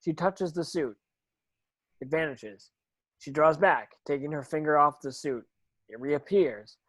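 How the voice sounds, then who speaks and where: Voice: medium pitch, a little slow, a bit bright, dry recording. A narrator reading screenplay stage directions in an online table read, now and then stumbling and repeating words.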